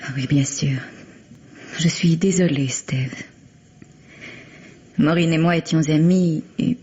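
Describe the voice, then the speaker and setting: Sympathetic female visitor, radio drama: tentative, mellow, husky, on dull vintage audio. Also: deep voice, takes it slowly, heavily acted. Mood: gentle sympathy. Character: soft-spoken, hesitant female visitor, sympathetic and solicitous. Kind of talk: French radio mystery drama acting.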